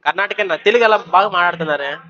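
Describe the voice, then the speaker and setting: High, bright-voiced young-sounding man. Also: rapid-fire, lo-fi sound. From Kadapa, Andhra Pradesh, India. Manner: informal, coaxing, mixes in English words. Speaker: YouTuber hosting a prank on a video chat. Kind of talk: casual banter, giving dares.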